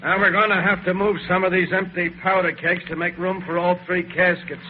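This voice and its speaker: A man acts a bossy mine superintendent in a vintage radio play. His voice is high for a man, and he barks curt, threatening orders. The sound is thin and phone-like with faint noise. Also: flat delivery, fairly quick, lightly acted. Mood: brisk, commanding. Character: domineering villainous male mine superintendent, curt and commanding. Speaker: boss. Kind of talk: old-time radio drama acting.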